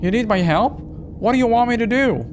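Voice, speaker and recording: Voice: mid-range voice, expressive, normal speed, noisy background, voice pushed up, lightly acted. A performer voicing a frightened, protective young woman in a horror game, with urgent, pleading exclamations. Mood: alarmed, questioning.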